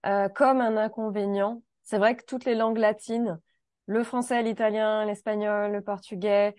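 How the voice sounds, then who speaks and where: A woman's voice: medium pitch, steady tone, moderate pace, darkish tone, dry recording, studio quality. A woman hosting a casual conversation about learning French, thoughtful and searching for her words with light laughs.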